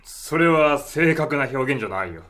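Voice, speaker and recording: A man's voice: mid-range voice, expressive, moderate pace, a bit bright, close to an everyday voice. A man acting in an audio drama as a terse, composed soldier. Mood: curt, composed.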